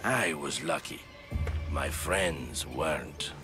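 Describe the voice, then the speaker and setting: A very deep voice over clear music, a veteran male warrior in a video game. He is proud and brooding. His delivery is solemn and portentous, like a grim philosopher. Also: expressive, slow pace, heavily acted. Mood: somber.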